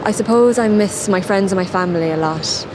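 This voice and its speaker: A woman giving relaxed, chatty answers in a street interview outdoors. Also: mid-range voice, brisk. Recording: outdoors with traffic noise.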